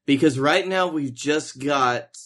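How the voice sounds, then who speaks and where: A man's voice: a little high, slow pace, a bit bright. A man bantering casually as a podcast co-host.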